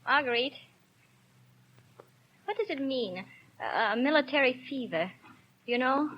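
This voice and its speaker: A naive, anxious young mother pleads in a high, husky-breathy voice. It is an old radio drama with dull, muffled sound. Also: lively intonation, a little slow. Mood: fearful. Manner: fretful, pleading. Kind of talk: 1930s radio acting. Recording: muffled 1930s radio recording.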